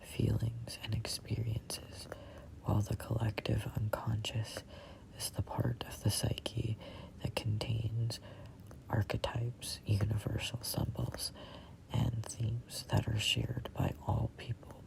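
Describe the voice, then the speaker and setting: A person in their twenties reading a book aloud as a whispered ASMR read-along, in halting, deliberate phrases. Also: mid-range voice, little pitch movement, vocal fry, darkish tone, low-quality recording. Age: 20s. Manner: halting, deliberate phrasing with stumbles over words. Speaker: reader of a self-help book.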